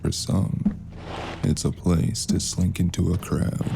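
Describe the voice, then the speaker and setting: A man playing a brooding, mysterious noir storyteller, narrating in ominous, measured, terse phrases. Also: deep voice, flat delivery, creaky, background noise, full character voice. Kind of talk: slow noir scripted narration.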